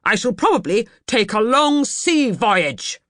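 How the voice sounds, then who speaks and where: A woman's voice: on the high side, big pitch swings, unhurried, fairly bright tone, voice pushed up. A woman voicing an irate, blustering father in an audiobook, with indignant, emphatic delivery. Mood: cross, grumbling. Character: irate, blustering middle-class father, grown man.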